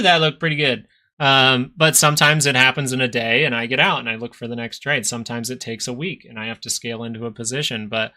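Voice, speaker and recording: A man's voice: mid-range voice, sharp tone. A man in his thirties hosting a live stock market stream, chatting casually between chart analysis and answers to viewer questions. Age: 30s. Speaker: stock market livestream host.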